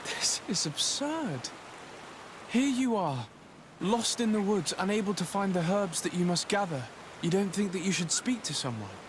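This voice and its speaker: A courteous noble envoy in a video game, confident and ready to help. His fairly high voice is formal and polite. Clear ambient noise sits behind it. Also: expressive, normal speed. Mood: exasperated. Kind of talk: video game cutscene acting.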